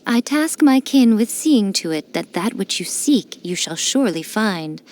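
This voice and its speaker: A sly teasing fox priestess. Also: female voice, mid-range voice. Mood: formal. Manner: playful, teasing, amused. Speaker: game character. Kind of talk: studio game voice lines.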